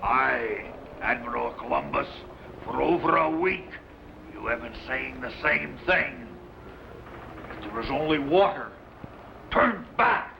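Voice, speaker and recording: A bitter, superstitious sailor in a vintage radio adventure. He speaks slowly and melodramatically, with a gravelly edge and big swings in pitch. The recording is dull and noisy. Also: lively intonation, exaggerated voice. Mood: bitter, doubtful. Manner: melodramatic, sneering, ominous. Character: bitter, fearful, superstitious mutinous male Spanish sailor. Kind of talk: old-time radio adventure acting.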